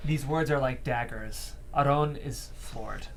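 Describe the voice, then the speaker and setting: A man's voice: medium pitch, slow, a little hiss or hum. A man reading scene headings and stage directions as narrator of a script table read.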